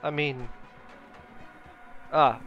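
A man's voice: on the high side, fairly even intonation, extremely slow, muffled recording, faint music behind. A man commentating on his video game in English with a Russian accent, casual, with bits of Russian mixed in. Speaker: gaming streamer or let's-player. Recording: clear background noise. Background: video game sounds.

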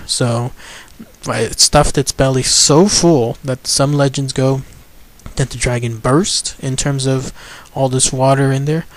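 Man, channel host, voice-over storytelling, enthusiastic, rambling, lots of fillers. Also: medium pitch. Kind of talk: casual voice-over storytelling over photos.

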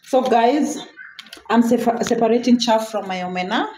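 A woman's voice: a little high, moderate pace, dark tone. A woman with a Kenyan accent hosting a casual cooking vlog, chatty, repeating phrases and mixing in Swahili words. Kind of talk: casual cooking tutorial chat. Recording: echoey room, clear background noise, clicks.

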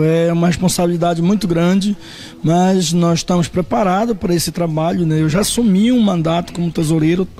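A man's voice: high-pitched, mellow. A man in his forties with a northern Brazilian accent answering a reporter's question indoors on a handheld microphone. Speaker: association officer. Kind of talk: interview answer. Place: indoor meeting room.

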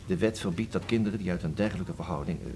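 A man's voice: sits low, rapid-fire, close to an everyday voice. An actor playing an evasive middle-aged father who coughs and hesitates in a radio drama. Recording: noisy old radio play recording.

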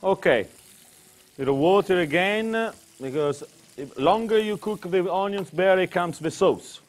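A man's voice: high voice, measured pace. A man from Tuscany with an Italian accent, whose first language is Italian, chatting through a cooking demonstration in broken English with Italian interjections. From Tuscany, Italy. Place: kitchen demonstration set.

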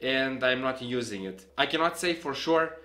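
Young man, bright tense voice, casual tutorial, small home room, desk camera. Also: medium pitch, moderate pace. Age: young adult. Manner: explains hands-on, demonstrating gear while talking. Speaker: vlogger. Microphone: camera on a desk, facing him.

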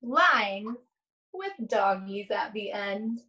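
A woman's voice: mid-range voice, animated, extremely slow, pushed and bright, highs cut off. A young adult woman, an art teacher, leading a read-aloud lesson for children with simple questions and prompts.

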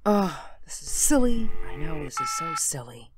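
A woman's voice: low-pitched, very animated, moderate pace, very noisy. An actress playing an anxious housewife and mother in a radio drama. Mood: nervous. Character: anxious housewife and mother hiding her unease.

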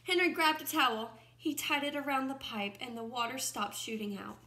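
A woman in her twenties reads a picture book aloud like a teacher to young children, expressively, pausing to comment and ask questions. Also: sits high, sharp tone, a little hiss or hum. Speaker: first-grade teacher reading aloud. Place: small room at home, indoors by a window. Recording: roomy, echoing home recording.

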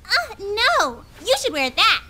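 A woman's voice: very high voice, sing-song, slow pace, bright, forceful tone, full character voice. An actress playing a petite, girlish, spirited young ninja woman in an English video game dub. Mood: teasing.

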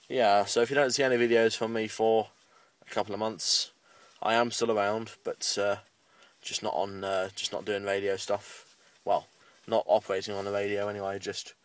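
A British man with a fairly low voice talks casually in a parked car. His delivery is very flat and rambles along in a matter-of-fact way. The microphone sits a little away from him. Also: clear, resonant tone, no room sound, far from the mic. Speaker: amateur radio hobbyist making a video update. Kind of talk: casual vlog update.

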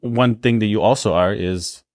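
A man hosts a podcast chat in a very low, easygoing voice, gently prompting his guest. The recording is dry and close. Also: moderate pace, dry recording. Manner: easygoing, encouraging questions. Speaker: podcast host. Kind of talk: casual interview chat.

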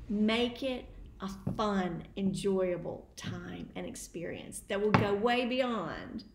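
A woman's voice: medium pitch, animated, slow, creaky. A middle-aged woman, a teacher giving read-aloud tips to camera. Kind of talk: instructional talk to camera. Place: home kitchen and dining room. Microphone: camera at table distance. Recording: roomy echo, faint background noise.

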